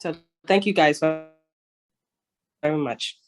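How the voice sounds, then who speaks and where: A woman's voice: low voice, slow. A woman calling in to a video call with a question, hesitant and restarting often. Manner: hesitant, restarts, self-described introvert. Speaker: caller asking a question.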